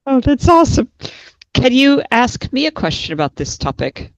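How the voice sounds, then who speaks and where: A woman's voice: high-pitched, very animated, moderate pace, no room sound, dull audio. A woman acting as examiner in a mock speaking exam, prompting the candidate with short follow-up questions.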